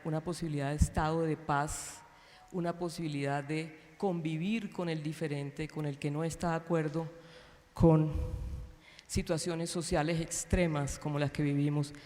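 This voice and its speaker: A man's voice: fairly high pitch, darkish tone. A man giving a formal introduction speech at a public lecture. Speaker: event introducer. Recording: reverberant hall.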